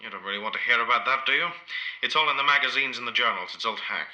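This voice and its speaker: An actor playing a proud, ageing imprisoned poet in an audio play, speaking in a measured, literary, rambling way. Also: male voice, medium pitch, sharp tone, rough recording. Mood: reflective, guarded. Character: ageing imprisoned modernist poet, proud, reminiscing, wounded dignity.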